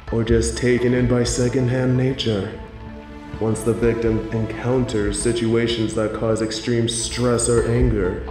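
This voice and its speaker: A man playing a playful, sinister supervillain in an audio drama, teasing and gloating with theatrical, drawn-out emphasis. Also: on the low side, echoey room. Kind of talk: scripted audio roleplay villain monologue.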